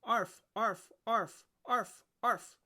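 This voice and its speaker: A storybook reader voicing an excitable young puppy with yappy, repeated barks. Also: mid-range voice, extremely slow, pitched above the performer's own voice, full character voice. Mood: excited. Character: excitable bouncing puppy in training, barking.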